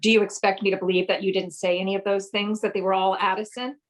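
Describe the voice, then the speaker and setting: A woman acting in a radio play as an excitable, primly indignant society wife. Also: mid-range voice, fast talker, lightly acted. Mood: firm, indignant.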